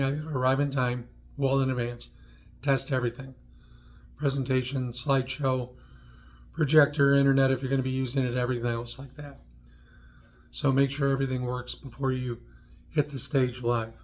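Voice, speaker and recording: An American man from New York speaks as the presenter of an instructional video. His voice sits at a medium pitch. He talks conversationally in short, clipped phrases, dropping in dry asides as he explains. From New York, United States. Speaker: presenter of a how-to video series on public speaking. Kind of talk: instructional talk to camera.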